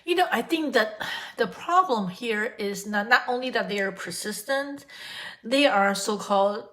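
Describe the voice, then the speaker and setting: A woman hosting a casual reaction chat, her voice a little hoarse. She rambles and corrects herself mid-sentence in a loose, conversational way. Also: a little high, expressive, unhurried, fairly bright tone. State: slightly hoarse. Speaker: channel host.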